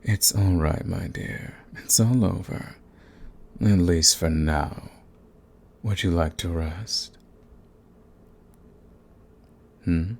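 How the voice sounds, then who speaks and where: Man performing a sadistic vampire master for ASMR roleplay: very low, gravelly, slow, seductive and possessive. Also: a little mellow, exaggerated voice. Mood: mock tender. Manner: slow, coaxing, condescending, drifting into breathy whispers.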